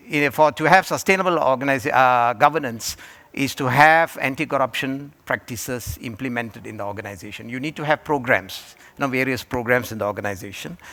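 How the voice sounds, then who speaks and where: A man from Malaysia speaks on a conference panel. His voice sits at a middle pitch. He stops and restarts often and fills gaps with "uh". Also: fairly bright tone. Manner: halting, with many fillers and restarts. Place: conference hall.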